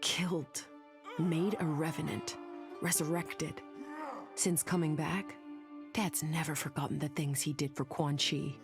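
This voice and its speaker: A woman's voice: deep voice, fairly even intonation, unhurried, over music. An actress playing a tough, devoted young woman soldier in a video game, earnest and clipped. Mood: grave, reflective. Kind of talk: dramatic video game monologue.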